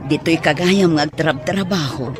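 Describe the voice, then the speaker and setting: A woman's voice: deep voice, normal speed, lightly acted. A woman with an Ilocano accent playing an easygoing, sociable mother in a radio drama. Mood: chatty, friendly.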